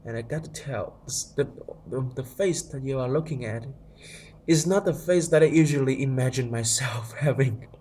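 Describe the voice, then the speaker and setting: A man's voice: medium pitch, normal speed, a little mellow, a little hiss or hum. Young man musing into his phone. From Vietnam. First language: Vietnamese. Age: young adult. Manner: musing, hesitant, many restarts. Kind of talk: casual selfie monologue. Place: dim room at night, indoors. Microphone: phone held at arm's length.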